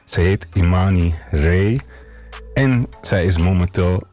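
Very deep-voiced Dutch radio host. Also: male voice, measured pace, dark tone, faint music behind. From Netherlands. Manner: hesitant, many fillers, friendly. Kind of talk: casual radio chat and interview.

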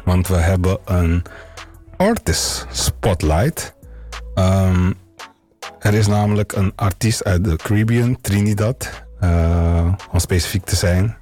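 Very deep-voiced Dutch radio host. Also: male voice, slow pace, mellow, faint music behind. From Netherlands. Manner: hesitant, many fillers, friendly. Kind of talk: casual radio chat and interview.

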